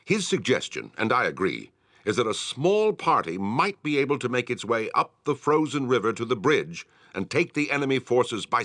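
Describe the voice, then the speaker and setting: Casting: a grave fantasy game commander, low-pitched, formal and authoritative, with widely swinging intonation. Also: male voice, bright, forceful tone, no echo, clean studio sound, full character voice. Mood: grave, urgent. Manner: measured, formal, authoritative delivery. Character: grave, commanding male town war leader in fantasy. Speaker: quest-giving military commander. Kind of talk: video game character acting, formal dialogue.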